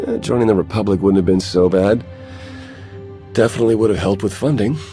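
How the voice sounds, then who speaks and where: Man playing deep, sardonic soldier. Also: fairly quick, mellow, soft background music, natural voice. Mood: wry. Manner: dry, sardonic. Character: wry, grumbling male soldier sidekick. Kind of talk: video game cutscene acting.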